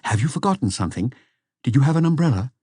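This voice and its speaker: A hospitable, uneasy woman in her thirties, as a male narrator puts her on, speaks in a lightly lifted, questioning tone. The audiobook sound is dry and the inflection lively. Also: mid-range voice, fast, husky, no room sound, voice pushed up. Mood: surprised, welcoming. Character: woman of thirty-four, hospitable but anxious girlfriend.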